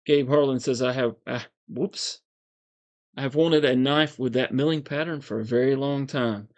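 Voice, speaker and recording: A man with a calm, soothing voice, hosting a casual livestream, reading viewer comments in a relaxed, meandering way. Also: medium pitch, normal speed. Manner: relaxed, meandering, frequent fillers and soft chuckles. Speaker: livestream host.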